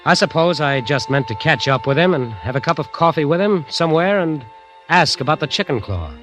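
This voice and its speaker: A man's voice: a little high, lively intonation, a little fast, a bit bright. An American actor playing a haunted bandleader who narrates his eerie tale in intense, suspenseful, vintage radio drama style. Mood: tense, intrigued. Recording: vintage radio recording with music.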